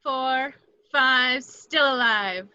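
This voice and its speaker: A woman's voice: high-pitched, very slow, sharp tone, smooth, dull audio, full character voice. A woman acting a vengeful, seductive catlike antiheroine in a superhero table read, with dramatic taunts. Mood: defiant. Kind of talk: amateur table read of a superhero film script.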